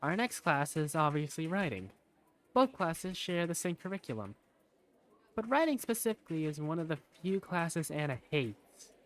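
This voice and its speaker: A man, an amateur voice actor, narrating a visual novel fandub in the first person in an animated way. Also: on the high side, normal speed.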